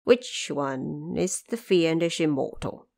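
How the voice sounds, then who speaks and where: A woman's voice: sits low, animated, slow, creaky, darkish tone, voice pushed down, heavily acted. A woman voicing a composed male emperor of heaven in an audio drama, formal and lenient. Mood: calm authority.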